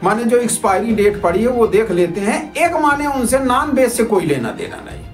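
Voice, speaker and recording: Male citizen reporter, high voice, argumentative informal commentary, music underneath. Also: lively intonation, moderate pace. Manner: argumentative, repetitive, rhetorical questioning. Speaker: citizen journalist presenting a video report. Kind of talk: informal spoken commentary to viewers. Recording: roomy echo with music underneath.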